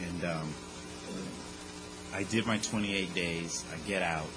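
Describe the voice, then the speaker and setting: A man telling a humorous personal story to a group in a lively, conversational way, with quick asides. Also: low-pitched, over music, rough recording. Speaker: speaker sharing his recovery story.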